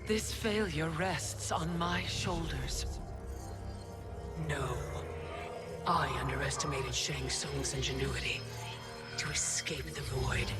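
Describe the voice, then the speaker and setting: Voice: mid-range voice, natural voice. An actor playing a proud, formal warrior in a fantasy fighting game. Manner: formal, measured. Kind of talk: dramatic video game acting. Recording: loud game score underneath.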